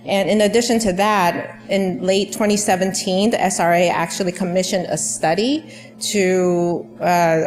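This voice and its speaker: Low-voiced woman councilor. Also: a little mellow. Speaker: city councilor. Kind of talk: council meeting remarks. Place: council chamber.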